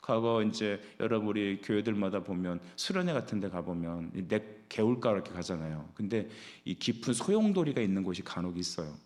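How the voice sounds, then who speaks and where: A man's voice: fairly low pitch, animated, a little fast, few pauses, darkish tone. A man preaching an expository sermon as a pastor, exhorting his listeners and often putting rhetorical questions to them.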